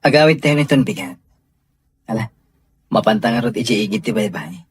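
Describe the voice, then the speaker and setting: A man's voice: medium pitch, a little fast, husky, dry recording, clean studio sound. A man playing an easygoing, generous young employer in an Ilocano radio drama. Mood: agreeable.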